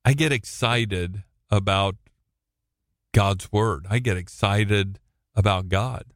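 A man preaching a sermon and reading scripture into a computer microphone. He has a booming voice and speaks deliberately, with frequent ums. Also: fairly bright tone, dry recording, clean studio sound. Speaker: pastor.

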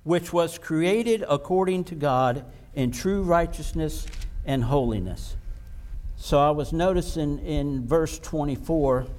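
A man preaching a sermon, slow and emphatic, repeating words for stress. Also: on the high side, a little mellow.

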